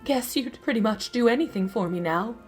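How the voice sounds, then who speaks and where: An actress playing a melodramatic, scheming femme fatale in a parody of a 1940s detective radio play, with theatrical delivery. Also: female voice, on the high side, lively intonation, a little fast, a little mellow, soft background music, close to an everyday voice. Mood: cool scheming. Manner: theatrical, breaking into knowing asides.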